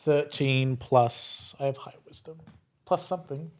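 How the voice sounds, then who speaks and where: A man's voice: mid-range voice, a little slow. A man playing an improvised role-playing game, chatting casually and thinking aloud in a tentative way. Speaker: tabletop role-playing player.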